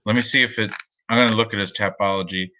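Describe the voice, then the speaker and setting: Bright-voiced man rambling through picks. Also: on the low side, fairly even intonation, fast, dull audio. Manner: rambling, laughs often, reads chat aloud. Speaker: co-host of a betting picks show. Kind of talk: casual chat.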